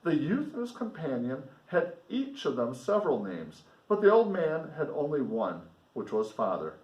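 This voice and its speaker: A man reading a novel aloud as a teacher, in a deliberate, explanatory manner with casual asides. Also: mid-range voice. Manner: deliberate, explanatory, pausing to comment for students. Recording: roomy echo, distant microphone.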